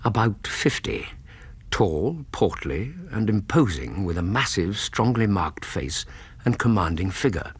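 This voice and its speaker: A Victorian English gentleman narrator for radio drama. His husky, lowish voice swings expressively through measured, literary storytelling, recorded close and dry. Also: big pitch swings, no echo, exaggerated voice. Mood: measured, vivid.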